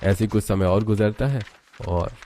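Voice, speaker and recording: A man's voice: on the low side, unhurried, warm tone. A man narrating a scripted moral story as its storyteller.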